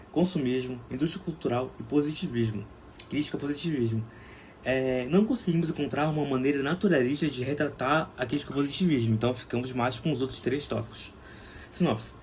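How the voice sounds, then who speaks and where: A young man with a Brazilian Portuguese accent gives an academic slide presentation as a student film director, in a halting, hesitant manner. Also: medium pitch, a little mellow. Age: young adult. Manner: halting, with frequent hesitations and restarts. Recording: clear background noise.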